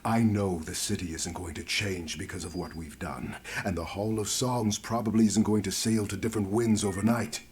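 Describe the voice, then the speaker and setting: A male actor playing a solemn, earnest man in a fantasy video game, speaking in a soft, measured, heartfelt way. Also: low voice, steady tone, a little hiss or hum, lightly acted. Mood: earnest, grateful.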